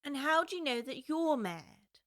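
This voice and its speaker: Brief: a polite, curious little girl, high, bright tone, dry studio. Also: animated, measured pace, no room sound. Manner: well-spoken and prim, wondering aloud. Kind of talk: full-cast audiobook acting.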